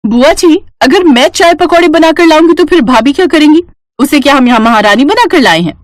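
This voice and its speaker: A woman voicing a short, sharp-tongued, bratty young sister-in-law in a story, whiny, scolding and sarcastic. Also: sits high, animated, brisk, no room sound, studio quality, pitched above the performer's own voice. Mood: defiant, whiny.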